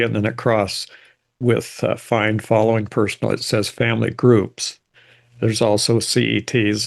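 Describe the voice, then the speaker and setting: Older male presenter, informal lecture read, rambling and reflective, strong vocal fry, slightly low register. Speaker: genealogy presenter.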